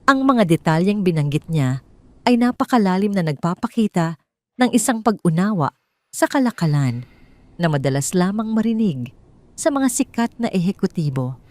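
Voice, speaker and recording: A Filipino woman narrates a scripted drama story. Her voice sits a little lower than most women's, and she reads as a storyteller would, in full composed sentences. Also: big pitch swings, moderate pace, no room sound.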